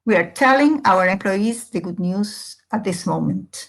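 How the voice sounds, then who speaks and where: Female language teacher, medium pitch, unhurried and deliberate pace, explains grammar step by step and answers her own questions. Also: expressive, creaky. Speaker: English teacher.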